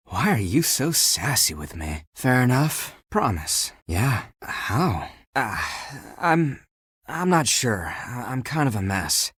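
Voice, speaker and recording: Sassy, animated living garment guy. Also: medium pitch, breathy edge, dry recording, studio quality, heavily acted. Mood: sassy, softening. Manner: sardonic, teasing, expressive. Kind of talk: video game character dialogue.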